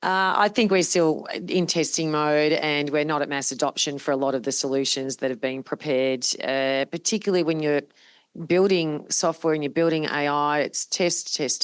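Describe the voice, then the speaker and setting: A woman on a panel, answering in a measured, cautious way. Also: low voice, clear, resonant tone, no room sound.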